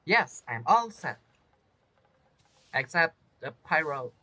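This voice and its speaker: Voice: medium pitch, very animated, takes it slowly, pushed and bright. A game streamer giving casual, rambling and playful commentary while playing. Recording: faint game music behind the voice.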